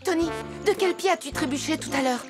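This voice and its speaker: A woman's voice: high voice, a little fast, close to an everyday voice. A woman voicing a gloomy, superstitious young friend in a cartoon, dramatic and sure that doom is coming. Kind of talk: cartoon voice acting. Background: music.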